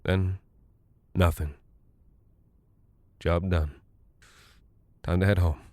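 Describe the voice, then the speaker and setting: A worn-out, rattled Cold War airman in an audio horror monologue. His voice sits on the low side, recorded close and dry. He talks conversationally and haltingly, rambling and apologizing as if confessing to his wife. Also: fairly even intonation, a little mellow, dry recording. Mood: weary, matter-of-fact. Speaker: audio drama actor.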